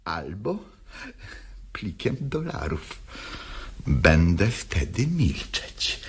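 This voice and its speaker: A defiant old woman declaims a radio play monologue in a husky voice. The delivery is theatrical and slow, with pitch that swings widely between hushed confiding and outcry. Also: exaggerated voice. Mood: menacing, slow.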